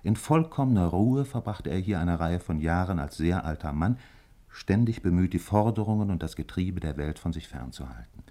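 A man narrating a 1950s German radio drama as a measured, reflective storyteller with literary phrasing. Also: sits low, warm tone.

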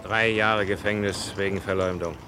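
A man's voice: low voice, steady tone, normal speed, pushed and bright, slight background noise. A man playing a firm, formal prosecutor in an old German radio drama.

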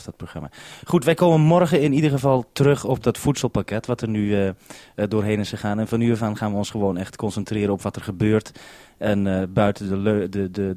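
A man talking casually and practically over a radio link, with some halting phrases. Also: fairly low pitch, darkish tone, no room sound. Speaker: radio presenter and contact on shore. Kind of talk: informal radio link conversation.

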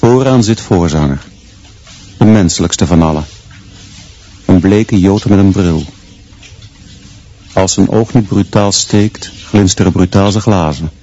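An actor playing a grim, contemptuous schoolteacher in a radio drama, narrating in clipped, deliberate short sentences. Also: male voice, sits low, dark tone. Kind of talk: literary radio drama monologue. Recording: dull, muffled sound.

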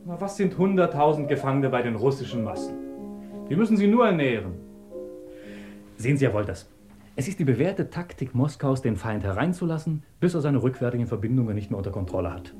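A man's voice: mid-range voice, very animated, brisk, mellow, soft background music, close to an everyday voice. An actor playing an eager, talkative male assistant in a German radio play, chatty and ingratiating. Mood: chatty, opinionated. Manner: chatty, ingratiating, deferential to his superior. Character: eager, talkative pro-Soviet male assistant at a research institute.

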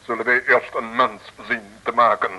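A man's voice: medium pitch, flat delivery, normal speed, bright, forceful tone, rough recording. An actor playing a blustering, vain army major who switches between barking orders and cooing gallantly, in an old radio serial. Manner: barks orders, coos gallantly at ladies. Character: blustering Slavic army major, smitten drill instructor and vain singer. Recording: old 1950s-60s radio recording with music.

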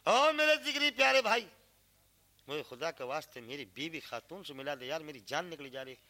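A male storyteller plays a dying husband in a folk tale, pleading urgently with his friend. The voice is high and sharply bright. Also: lively intonation, brisk. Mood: desperate pleading. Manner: imploring, urgent.

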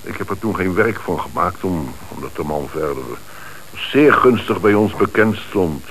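Hissy radio-play recording, low-voiced bank manager. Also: male voice, expressive, moderate pace, a bit bright. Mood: earnest, hesitant. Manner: hesitant, formal. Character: troubled, lenient male bank manager under police questioning.

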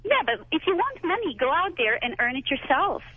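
A woman's voice: high-pitched, big pitch swings, a little fast, no echo. A woman from Croatia calling in to a talk show, speaking earnestly and with some indignation. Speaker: caller. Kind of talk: phone-in call to a talk show. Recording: tinny phone line.

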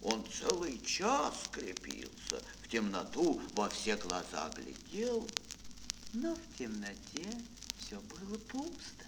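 A man telling a fairy tale for children in a dramatic, drawn-out, expressive storyteller's manner. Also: medium pitch, gravelly, background noise. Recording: music behind, old mono record. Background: music.

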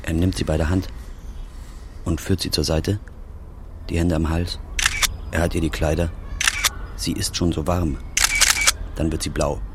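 A man's voice: rumbling low, flat delivery, unhurried, pauses often, noisy background. A man acting as a detached, ominous narrator in a radio play, intoning short phrases like an incantation. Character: dark, detached male narrator intoning bleak scenes. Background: loud music.

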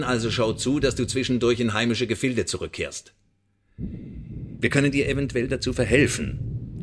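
An actor in a radio play voicing a smug French spymaster who blackmails with mock friendliness and taunting, theatrical emphasis. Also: male voice, on the low side, noisy background, close to an everyday voice.

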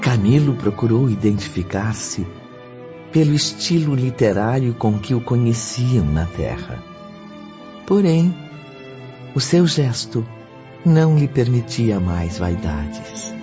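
Slow solemn male narrator over music. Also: medium pitch, big pitch swings. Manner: solemn, literary, measured. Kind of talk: scripted radio drama narration. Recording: muffled radio drama with music bed.

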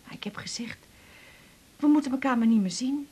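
A woman's voice: high-pitched, a little fast, a little mellow, husky. An actress playing a hurt, chatty middle-aged mother confiding in a colloquial, rambling way, in a naturalistic radio drama. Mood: hurt, subdued. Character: hurt, chatty mother back from a failed holiday.

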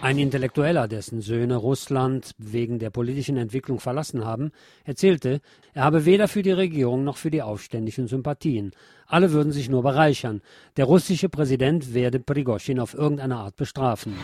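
A man's voice: mid-range voice, dark tone, no echo, studio quality. A man hosting a radio programme, reading press reports aloud and commenting on them. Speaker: radio show host.

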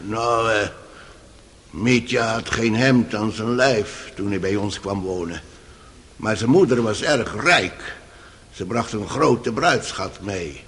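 Slow-spoken stubborn old servant. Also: male voice, on the low side, a bit bright. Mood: earnest. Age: older. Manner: slow, plain-spoken, folksy, respectful. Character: stubborn, dignified, loyal old male house servant. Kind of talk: radio drama acting.

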